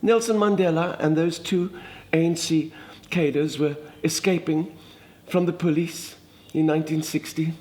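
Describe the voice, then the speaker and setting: A man's voice: on the high side, fairly even intonation, unhurried, a little mellow, lightly acted. A man doing a gushing older Afrikaans lady. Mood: gossipy. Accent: Afrikaans South African. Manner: gushing, dramatic, exclamatory. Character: chatty older Afrikaner woman, minister's wife, theatrical. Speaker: comedic monologist. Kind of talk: comic storytelling monologue.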